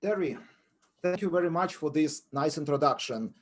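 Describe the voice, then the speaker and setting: A man's voice: a little high, expressive, normal speed. A man with a Ukrainian accent presenting an academic webinar as a professor, emphatic and repeating phrases for effect.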